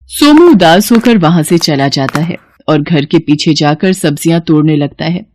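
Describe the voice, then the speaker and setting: A woman's voice: low-pitched, expressive, normal speed, darkish tone, a little hiss or hum. A woman narrating a scripted children's bedtime story as the storyteller.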